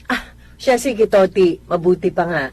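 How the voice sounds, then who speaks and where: An actress playing a gentle, devout mother, soothing and coaxing, in a Filipino radio drama. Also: on the low side, moderate pace, breathy edge, no echo. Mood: caring. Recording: loud background noise.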